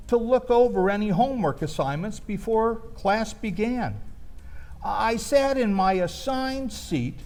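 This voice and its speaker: A man with a high-pitched voice shares a personal, gently comic reminiscence. He speaks slowly and hesitantly, with lively swings in his intonation. The delivery is that of a wistful storyteller who leaves room between phrases.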